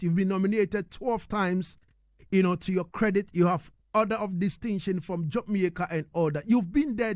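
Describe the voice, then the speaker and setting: A man's voice: high-pitched, no room sound, clean studio sound. A man hosting a casual radio interview, effusive and slangy, often repeating his phrases. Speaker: radio host interviewing a musician.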